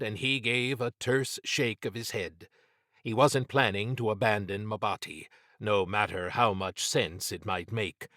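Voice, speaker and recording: Male horror audiobook narrator; medium pitch, measured, dramatic storytelling, very dry sound. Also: fairly bright tone, no room sound. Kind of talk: scripted narration of horror fiction.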